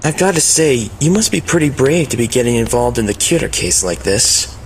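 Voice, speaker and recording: A man's voice: mid-range voice, lively intonation, a little fast, a little hiss or hum, natural voice. A man playing a polite, calculating teenage student in an anime dub, with secret schemes under the courtesy. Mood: polite, persuasive. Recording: voice under loud background music. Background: loud music.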